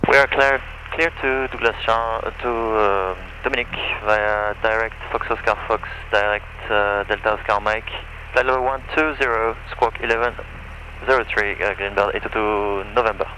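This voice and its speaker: A man working as an airline pilot, reading back clearances a little hesitantly over aircraft radio. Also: lowish voice, fairly even intonation, normal speed, noisy background. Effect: aircraft radio transmission.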